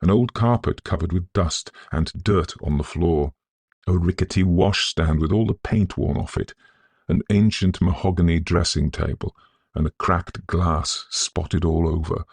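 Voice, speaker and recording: Man, gravelly and deep, scholarly storybook narrator, measured, solemn, atmospheric. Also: fairly even intonation, darkish tone, no echo. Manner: measured, deliberate, solemn delivery.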